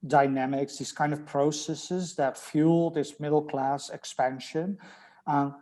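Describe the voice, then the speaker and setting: A man from the Netherlands with a Dutch accent, speaking as a research guest in a thoughtful academic conversation, pausing often with ums and restarts. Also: a little high, level tone, mellow.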